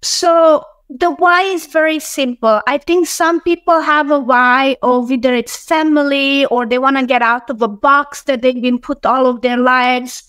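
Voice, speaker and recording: A woman's voice: high voice, fairly even intonation, measured pace, hardly any pauses, fairly bright tone, dry recording. A middle-aged woman, telling her own story casually as an interview guest on a video call. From Hungary, born in Romania. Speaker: interview guest, bookkeeping business owner. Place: small room, indoors.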